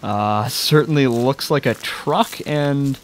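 A man's voice: mid-range voice, slow pace, slight background noise. A man co-hosting a game playthrough, trading dry, teasing wisecracks and laughing a lot, recorded on a webcam mic in a small room. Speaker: let's play co-host. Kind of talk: casual gameplay commentary.

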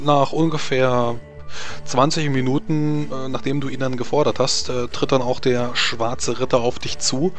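A man narrating a tabletop role-playing game as game master, piecing his descriptions together haltingly. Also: medium pitch. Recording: music under the voice. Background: background music.

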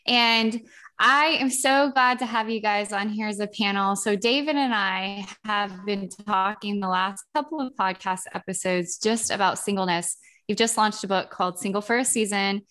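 A woman's voice: medium pitch, normal speed, bright, forceful tone. A woman around forty from Texas, hosting a podcast panel over a video call in an upbeat, welcoming way. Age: 40s. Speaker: podcast host. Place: home room indoors. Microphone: video call with headset mic.

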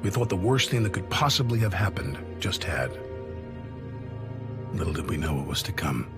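A reflective war veteran narrating a video game. His voice is very low and grave, with measured scripted delivery over clear background music. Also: very animated. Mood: somber, reflective. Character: somber war veteran narrator recalling battle.

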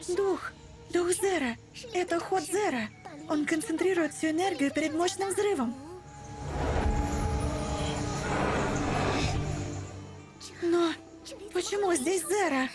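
A woman playing a composed, knowledgeable teenage girl in a dubbed animated fantasy, explaining things earnestly. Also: extremely high pitch, moderate pace, over music. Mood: concerned.

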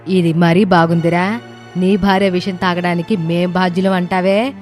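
A woman's voice: on the low side, steady tone, moderate pace, darkish tone, soft background music, natural voice. A woman playing an older, spiteful mother-in-law who scolds and complains indignantly in a story drama.

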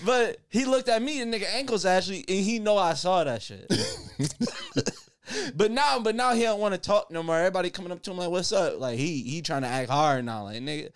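Joking, clear-voiced man with a higher pitch. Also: a little fast, no room sound. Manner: animated slangy joking, often laughing through words. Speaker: podcast co-host. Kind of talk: casual comedic storytelling and banter. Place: small indoor podcast room, seated. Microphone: boom-arm studio microphone.

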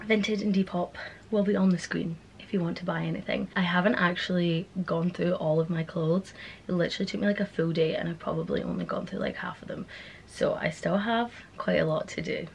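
A woman's voice: on the low side, vocal fry, slight background noise. A young woman in her twenties chatting casually to camera in her bedroom, upbeat and friendly. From Scotland. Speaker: vlogger. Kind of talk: casual vlog chat. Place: bedroom at home, indoors.

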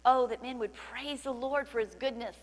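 A middle-aged woman pastor preaching a sermon with fervent praise and scripture reading, her voice slightly cracking from loudness. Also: on the high side, moderate pace. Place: large church stage indoors. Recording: long hall reverb, faint hum.

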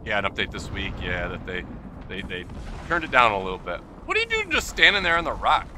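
A man's voice: on the low side, huge pitch swings, a little fast, noisy background. A man streaming a game, chatting casually with his friends and his viewers. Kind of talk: casual gaming banter with friends and stream chat.

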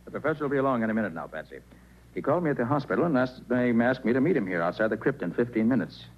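Actor as a brisk, confident radio detective man. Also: medium pitch, expressive, natural voice. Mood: explaining. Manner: brisk, crisp, authoritative. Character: confident, brisk male private detective in charge. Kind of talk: 1940s radio acting. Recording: muffled, noisy 1940s radio recording.

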